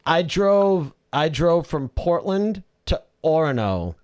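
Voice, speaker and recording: A man hosting a podcast in casual banter, jokey and quick to laugh. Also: a little high, very animated, darkish tone. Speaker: podcast host.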